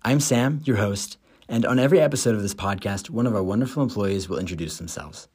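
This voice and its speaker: A young man in his twenties hosts a podcast, reading a scripted monologue in an upbeat, enthusiastic way. His voice sits a little low for a man. He is from the United States. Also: fast talker, a little mellow, slightly rough. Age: 20s. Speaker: podcast host.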